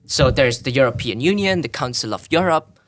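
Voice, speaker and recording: A man's voice: medium pitch, expressive, fairly quick. Tanzanian man explaining things thoughtfully. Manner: thoughtful, with frequent restarts and "you know". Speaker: podcast guest. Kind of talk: explanatory conversational interview.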